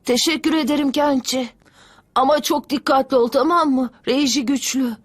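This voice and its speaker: Woman as an earnest, high-voiced little cartoon boy. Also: steady tone, normal speed, no room sound, natural voice. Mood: earnest warning. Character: small young boy blader, earnest, vulnerable, friendly. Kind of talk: dubbed cartoon dialogue.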